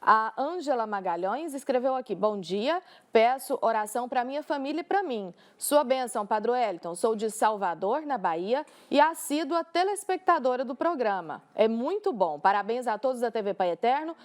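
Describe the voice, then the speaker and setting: Tv co-host lady reading out viewer comments, friendly and clear, slightly high voice. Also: expressive, normal speed, hardly any pauses. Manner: friendly and clear, reads messages aloud.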